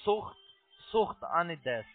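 A man who is not a native speaker, giving instructions on a phone call in halting, broken sentences as a call centre agent. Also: high voice, very animated, dry recording. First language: not German. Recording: tinny narrowband phone line.

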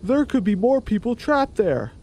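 A man's voice: extremely high pitch, sing-song, normal speed, dark tone, pitched above the performer's own voice, exaggerated voice. A man voicing a concerned male chicken in a cartoonish radio play.

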